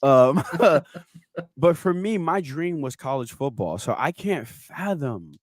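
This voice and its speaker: Man, 30s, podcast host, animated joking delivery, slightly high voice, very dry sound. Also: slow, dry recording. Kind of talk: casual sports chat.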